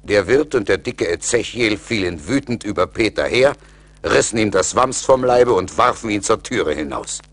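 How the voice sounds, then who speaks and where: Deep-voiced male fairy-tale narrator. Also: lively intonation, normal speed. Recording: slightly noisy 1980s vinyl record.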